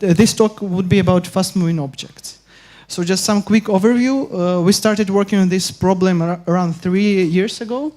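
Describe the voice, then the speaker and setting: Young man from Ukraine, hesitant academic presenter, warm darker voice. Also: high voice. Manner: halting, many filler pauses and restarts. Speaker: conference speaker. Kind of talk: academic conference talk.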